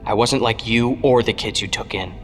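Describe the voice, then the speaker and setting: A man's voice: fairly low pitch, normal speed, soft background music, close to an everyday voice. Man playing earnest young hero, cavernous reverb. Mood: earnest confession. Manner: earnest, insistent, questioning. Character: earnest young male hero, streetwise protégé.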